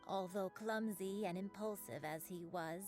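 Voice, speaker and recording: A woman playing a gentle, remorseful old grandmother in a video game, slowly confessing her past in a soft, breathy voice. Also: mid-range voice, music in the background, close to an everyday voice. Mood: wistful. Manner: slow, solemn, confessional storytelling. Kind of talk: dramatic video game voice acting.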